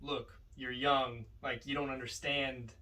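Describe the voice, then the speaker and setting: A man's voice: medium pitch, flat delivery, unhurried, pushed and bright. A man co-hosting a podcast, discussing films casually with informal enthusiasm. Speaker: podcast co-host. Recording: roomy, distant from the mic.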